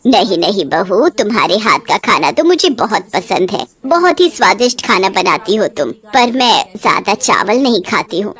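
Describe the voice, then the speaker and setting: A woman voicing a stern but affectionate older mother-in-law in a family storybook tale, speaking in a measured, matronly manner. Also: mid-range voice, sing-song, fairly bright tone, pitched below the performer's own voice. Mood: polite, fussing.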